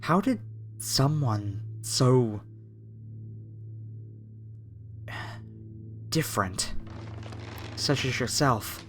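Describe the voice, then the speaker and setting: A man playing a fanatical masked cultist captor in a horror ASMR roleplay, speaking with reverent, preacherly menace and deliberate pauses. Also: mid-range voice, close to an everyday voice. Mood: probing, calm.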